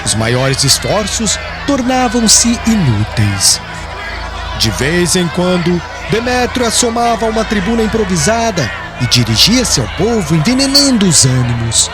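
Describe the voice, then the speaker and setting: A Brazilian man reading an audiobook as dramatic scripted narration, in a measured, formal and theatrical storytelling manner. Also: sits high, big pitch swings, music in the background, a crowd in the background.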